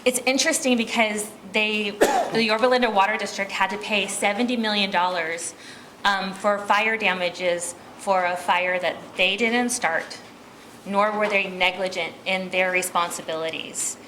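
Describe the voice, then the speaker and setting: American lady presenting at a public meeting, bright clear-cut voice, halting and chatty, bit of noise behind. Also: medium pitch, steady tone, rough recording. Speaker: water district manager giving a presentation. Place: meeting room, speaking at a podium.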